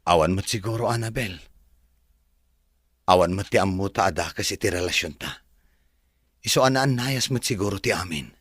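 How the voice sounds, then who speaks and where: An actor with a Filipino accent playing an earnest, reassuring sweetheart in a radio drama, with a gentle manner. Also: male voice, low-pitched, normal speed, pauses often, husky, no room sound, studio quality.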